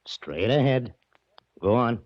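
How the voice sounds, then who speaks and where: An actor playing a tough, curt bodyguard who gives orders and wisecracks in a 1940s radio drama. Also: male voice, medium pitch, animated, takes it slowly. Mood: menacing, sardonic. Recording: muffled, slightly noisy old radio recording.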